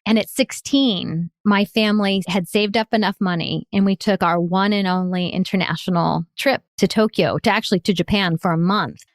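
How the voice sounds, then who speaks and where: A woman's voice: mid-range voice, normal speed, hardly any pauses, no echo, clean studio sound. Enthusiastic woman guest. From United States. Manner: enthusiastic, expressive, laughs often. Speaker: guest, consultant and author. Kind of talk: storytelling in an interview.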